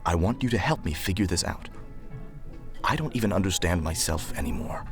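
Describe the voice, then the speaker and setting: A man playing a deep-voiced brooding actor, music behind. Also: animated, fairly quick. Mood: pleading. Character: brooding, self-doubting male actor turned wartime conspirator. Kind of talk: student film drama acting, reciting verse.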